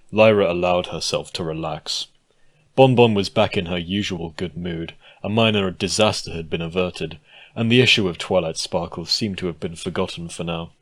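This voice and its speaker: A man reading a scripted story aloud as its narrator. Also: low-pitched. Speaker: fan fiction narrator.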